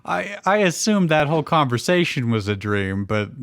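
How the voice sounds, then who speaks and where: A man's voice: fairly high pitch, big pitch swings, moderate pace, fairly bright tone. A man commenting casually on a story as a co-reader. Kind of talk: casual chat.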